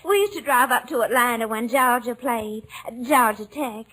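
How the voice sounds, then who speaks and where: High, bright Southern belle. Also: female voice, low-quality recording. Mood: wistful, dreamy. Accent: American Southern. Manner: coy, sugary, drawn-out delivery. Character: flirtatious, gushing Southern belle pushing thirty. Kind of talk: old-time radio comedy acting. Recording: vintage radio show recording.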